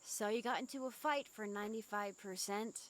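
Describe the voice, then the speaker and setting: A woman's voice: medium pitch, normal speed, a bit bright, dry recording, lightly acted. A woman voicing a stern but caring teenage schoolgirl, scolding yet concerned, in a fan dub of a visual novel game. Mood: stern, flustered.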